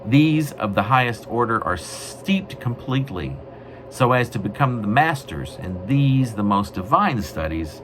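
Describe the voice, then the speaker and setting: A man reading his own poetry in a wry, mock-grandiose way, with deliberate, literary phrasing. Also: medium pitch, very animated. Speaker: poet reading own work. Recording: noisy amateur recording.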